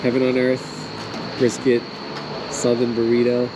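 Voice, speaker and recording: A man's voice: medium pitch, monotone, a little slow, noisy background. A man chatting casually and playfully on a vlog, slangy and exclamatory while eating. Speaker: vlogger.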